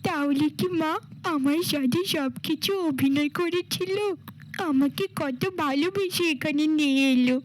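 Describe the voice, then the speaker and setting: A woman's voice: sits high, unhurried, a little hiss or hum, low-quality recording. A woman voicing a devoted, timid little girl in a cartoon fairy tale, with a pleading, childlike manner. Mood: frightened, forlorn. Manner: pleading, childlike, affectionate way of addressing mother.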